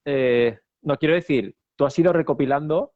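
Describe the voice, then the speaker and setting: A man's voice: fairly high pitch, normal speed, no echo, narrow, tinny audio. A man from Spain hosting an interview, asking long, rambling questions full of fillers and tag questions. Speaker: interviewer host. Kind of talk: interview conversation.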